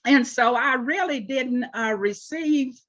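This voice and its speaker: A woman in her seventies, originally from Oklahoma, answering interview questions about her life in an unhurried way with frequent pauses. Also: high-pitched, fairly bright tone, dull audio. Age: 70s. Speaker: interview guest, artist.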